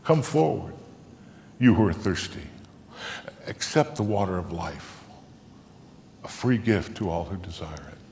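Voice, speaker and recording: A man in his sixties gives a solemn, theatrical live dramatic reading of scripture from a stage, with measured delivery. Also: sits low, expressive, vocal fry, a little hiss or hum. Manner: theatrical, solemn, measured, swelling to loud declamation and dropping to hushed tones. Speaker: dramatic reader reciting scripture. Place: large theatre stage, indoors. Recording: echoing hall, long reverb.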